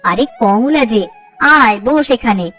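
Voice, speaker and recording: High-pitched caring village mother. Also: expressive, measured pace, fairly bright tone, far from the mic, faint music behind. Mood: kindly. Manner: motherly, homely. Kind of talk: Bengali cartoon fairy-tale voice acting.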